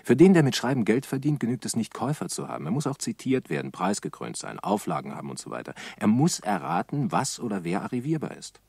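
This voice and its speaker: A man playing a sardonic commentator in a literary radio play, with a gravelly, husky voice. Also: medium pitch, very animated, quick pace, hardly any pauses, darkish tone, no room sound, heavily acted. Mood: sardonic, probing. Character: sardonic male commentator musing on success. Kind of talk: literary radio play monologue, reflective and essayistic.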